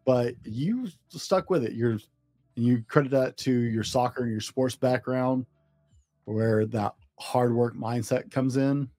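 A man's voice: mid-range voice, unhurried, mellow, dry recording, studio quality, soft background music. A male podcast host who interviews his guest, sums up the story and speaks directly to listeners with encouraging takeaways.

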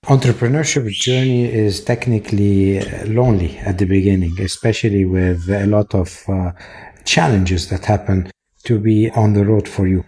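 A man's voice: on the low side, fairly even intonation, normal speed, warm tone, slight background noise. A man hosting a startup podcast who gives short acknowledgments and then asks long interview questions. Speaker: podcast host.

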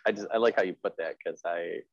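A man's voice: low voice, fast talker, lo-fi sound. A man hosting a podcast interview in casual chat, rambling and repeating himself as he goes. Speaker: podcast host and writer.

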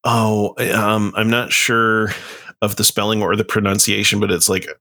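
A man's voice: lowish voice, little pitch movement, moderate pace, sharp tone. A man co-hosting a podcast in casual chat, hesitant and full of fillers. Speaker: podcast co-host.

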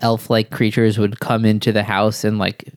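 A man with a lowish voice chimes in with halting, restart-filled questions and dry jokes. The sound is close and dry. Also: little pitch movement, no echo. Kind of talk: casual chat.

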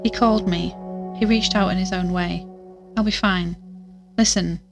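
A woman's voice: fairly low pitch, steady tone, moderate pace, gravelly, over music. A woman playing a determined, self-reliant podcast investigator in an audio drama, conversational and matter-of-fact. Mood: reassuring, firm. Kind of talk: audio drama narration, recorded-diary delivery.

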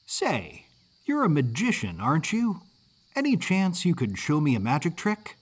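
Chatty circus orphan boy, played by a man: higher pitch, lively swings, eager folksy manner, mid-Atlantic American accent. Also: measured pace, breathy edge, exaggerated voice. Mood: eager, curious.

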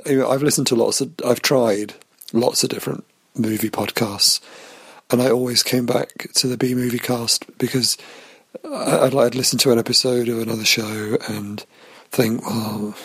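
A man leaving a voicemail, speaking with a hoarse, croaky voice in a rambling, halting way full of ums and restarts. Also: mid-range voice, a bit bright, no room sound. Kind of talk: casual voicemail tribute.

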